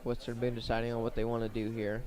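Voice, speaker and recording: A man doing live radio play-by-play of a high school football game in short, plain, measured phrases. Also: monotone, dark tone. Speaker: play-by-play sports commentator.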